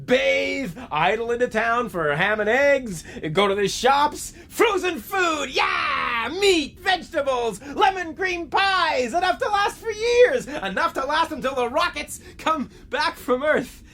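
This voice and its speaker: A man playing a lonely, stranded man in a radio drama, excitable and rambling as if unhinged by solitude. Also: very high voice, heavily acted. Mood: manic, gloating. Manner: rambling, wildly emotional, halting.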